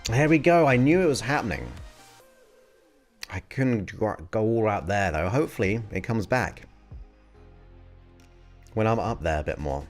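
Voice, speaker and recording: Low, unhurried male voice in his forties, chatting casually through a live question-and-answer stream. He muses aloud and reads viewer questions as he goes. Soft music plays faintly behind him. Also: animated.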